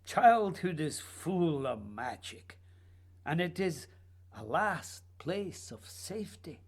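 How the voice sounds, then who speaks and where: Husky, slow, dreamy writer, acted by a woman. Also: deep voice, big pitch swings, full character voice. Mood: coaxing. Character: dreamy, evasive male writer, earnest myth-maker. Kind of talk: radio play acting.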